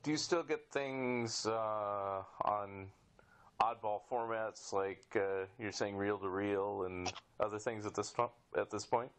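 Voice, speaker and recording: A man's voice: on the low side, takes it slowly, a bit bright, clean tone. A man hosting a podcast, chatting casually and asking hesitant, meandering questions. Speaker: podcast host, interviewer. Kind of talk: casual interview chat.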